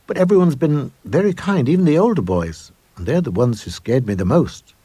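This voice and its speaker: Man voicing a nervous, chatty small schoolboy, husky and raised. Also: medium pitch, animated, fairly quick, dark tone, dry recording, voice pushed up. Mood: eager, uneasy. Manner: eager, uneasy, boyish exclamations.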